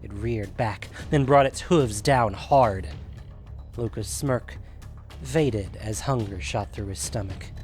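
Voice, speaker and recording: A man narrating a scripted fantasy story in a measured, dramatic storytelling manner. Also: on the low side, darkish tone, faint music behind.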